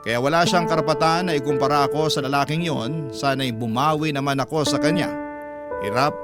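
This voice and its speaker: A man with a Filipino accent reading a first-person letter dramatically as a radio storytelling host. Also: fairly high pitch. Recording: loud music under the voice.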